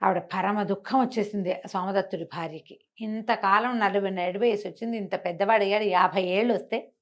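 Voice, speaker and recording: A middle-aged woman telling a moral story in an interview, animated and acting out the characters' lines with a changing voice. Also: medium pitch, a little fast. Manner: expressive, acts out the characters' lines with shifting voice. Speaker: storyteller guest.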